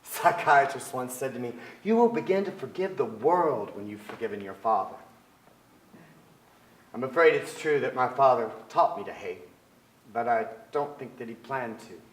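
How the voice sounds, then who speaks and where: A man's voice: medium pitch, animated. Echoey man reading dramatically on stage. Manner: measured, reflective storytelling. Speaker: stage actor, reader. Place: on stage in a theater with an audience.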